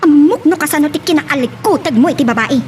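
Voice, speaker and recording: A woman's voice: high voice, fast, darkish tone, lightly acted. A woman playing an emotional, strong-willed woman in an Ilocano family love drama. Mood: angry, accusing. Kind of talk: Ilocano audio drama acting. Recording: faint background noise.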